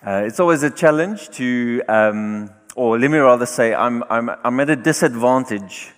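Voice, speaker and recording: A man's voice: mid-range voice, clear, resonant tone. Guy from south africa preaching in english, english isn't his first language, lots of uhs, echoey hall. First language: not English. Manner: warm, halting, with fillers and self-corrections. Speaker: guest preacher. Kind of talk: conference sermon with live interpretation. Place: church hall. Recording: reverberant hall.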